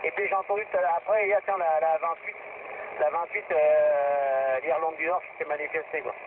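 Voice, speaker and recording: High-voiced Frenchman on a crackly radio. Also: fairly even intonation, normal speed. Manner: rambling, hesitant, repeats himself. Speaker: amateur radio operator driving a vehicle. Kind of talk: casual two-way radio chat. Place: moving vehicle. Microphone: radio microphone. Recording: narrow, noisy two-way radio from vehicle.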